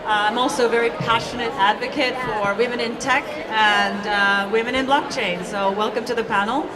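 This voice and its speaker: A woman introduces herself and a panel as its host. Her voice sits fairly high and comes from a little way off, amid clear crowd chatter. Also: steady tone, moderate pace. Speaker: panel host, venture fund founder. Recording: distant mic, noisy crowded room.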